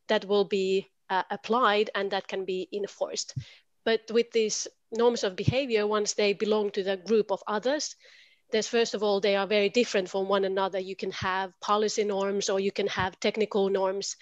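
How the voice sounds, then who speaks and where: A woman's voice: mid-range voice, no room sound. Woman on a video panel, careful legal-ish explaining, kinda muffled dry mic. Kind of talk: panel discussion. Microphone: headset on a video call.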